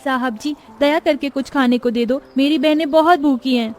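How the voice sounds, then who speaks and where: Woman voicing a high-pitched, pleading orphan girl. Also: normal speed, darkish tone, faint music behind, close to an everyday voice. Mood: plaintive. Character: poor orphan girls, earnest, plaintive young sisters. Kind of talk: Hindi moral-story cartoon dialogue.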